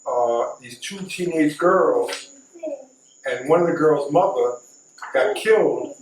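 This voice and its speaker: A man's voice: fairly high pitch, animated, slow pace. A middle-aged man telling a story casually, repeating and recapping for emphasis. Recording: echoey, distant mic, faint noise.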